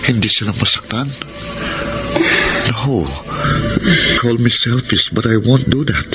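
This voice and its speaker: A man's voice: medium pitch, slow pace, low-quality recording. A man acting an earnest, pleading young lover with a guilty secret in a Tagalog radio drama, mixing in English. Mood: insistent. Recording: dramatic music under the voice.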